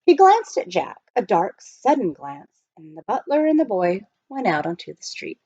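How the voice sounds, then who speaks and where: Woman reading a kids book out loud, lively up and down voice, kinda dull dry recording. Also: mid-range voice, normal speed, breathy edge, no echo. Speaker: reader of a children's novel. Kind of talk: expressive storybook narration.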